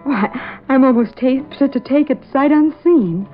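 A woman's voice: sits high, measured pace, faint music behind. An actress playing a timid, jumpy woman with a nervous, hesitant manner in a radio drama. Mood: polite, eager. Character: jumpy, timid young woman house-hunting. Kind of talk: old-time radio drama acting. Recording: muffled, noisy old radio recording.

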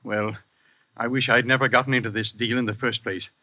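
A man's voice: mid-range voice, little pitch movement, brisk, close to an everyday voice. A man playing a nervous business partner in a vintage radio drama, talking hesitantly. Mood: uneasy. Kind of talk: 1940s radio acting. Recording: dull vintage radio recording.

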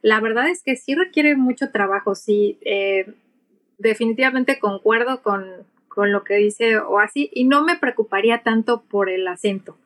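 A woman's voice: on the high side, fairly bright tone. A middle-aged woman with a Mexican Spanish accent, answering conversationally as an interview guest and explaining things through acted-out examples. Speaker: interview guest, pilot and aviation English instructor.

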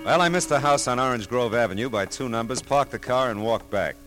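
An actor playing a wry, hard-boiled American private investigator in an old-time radio detective drama, with dry, wisecracking delivery. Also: male voice, medium pitch, brisk, lightly acted. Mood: wry, brooding. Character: wry, flirtatious hard-boiled male private investigator narrating his case. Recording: vintage radio recording with music behind.

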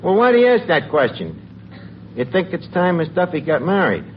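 Slangy New York barkeep, wisecracking, lively swooping pitch, on muffled, noisy 1940s radio. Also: male voice, moderate pace. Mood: brash, wisecracking. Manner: folksy wisecracks in slangy, ungrammatical talk. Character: wisecracking, streetwise tavern manager with mangled grammar. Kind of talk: 1940s radio comedy acting.

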